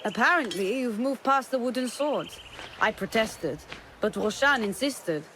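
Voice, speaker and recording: A woman's voice: on the high side, expressive, a little slow, a bit bright, a little hiss or hum. An actress playing a proud, wry weaponsmith in a video game, teasing and assured in her delivery. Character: proud, wry woman weaponsmith of an assassin camp. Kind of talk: dramatic video game acting.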